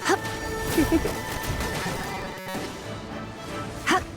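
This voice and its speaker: A woman voicing a young female fighter, giving short grunts and shouts in combat. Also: medium pitch, sing-song, very slow. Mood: fighting. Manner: sharp battle grunts and shouts. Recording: game audio with music and hits.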